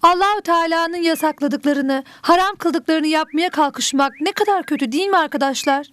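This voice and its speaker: A woman's voice: high-pitched, moderate pace, fairly bright tone. A woman voicing a small, earnest, moralizing animal pupil in an educational cartoon. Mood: reproachful.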